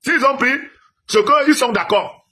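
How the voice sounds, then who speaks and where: A man's voice: extremely high pitch, a little slow, pushed and bright, slightly rough. A man from Cameroon giving an emphatic political monologue to viewers, lecturing and asking rhetorical questions. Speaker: political commentator.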